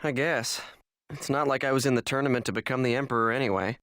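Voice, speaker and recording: A man's voice: medium pitch, steady tone, quick pace, a bit bright, dry recording, studio quality, natural voice. A man doing a curt, sarcastic young hero. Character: brusque young male player-fighter, aloof and sarcastic.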